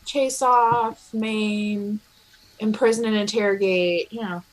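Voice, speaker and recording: A woman's voice: medium pitch, fairly even intonation, slow, poor audio. A woman playing a tabletop role-playing game, chatting casually as she describes what her character does.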